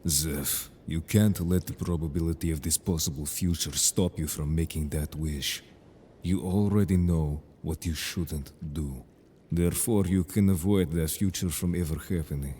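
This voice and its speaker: Very deep, calm merfolk man, acted by a man. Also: a little hiss or hum. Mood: earnest, urging. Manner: measured, formal phrasing. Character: calm, protective, confident merfolk man, reassuring and worldly. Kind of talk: audio roleplay drama acting.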